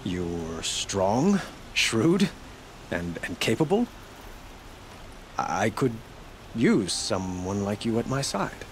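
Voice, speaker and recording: Gracious, lowish-voiced young king. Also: big pitch swings, takes it slowly, slight background noise. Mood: tender, hesitant. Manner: formal, hesitant. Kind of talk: dramatic video game acting.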